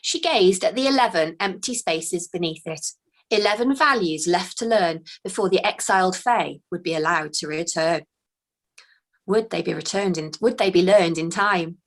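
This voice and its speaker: A woman, a children's author, reading her own book aloud with gentle, expressive storytelling, then chatting about the next book. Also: on the low side, moderate pace.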